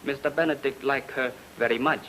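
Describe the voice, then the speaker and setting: A man's voice: medium pitch, fairly even intonation, a little fast, fairly bright tone, natural voice, poor audio. A man acting a cagey, mercenary houseboy in a radio drama, speaking clipped broken English. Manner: clipped broken English, dropping articles and verb endings. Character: cagey, mercenary male houseboy informant, blunt and eager. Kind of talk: old-time radio character acting. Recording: old radio recording, faint noise.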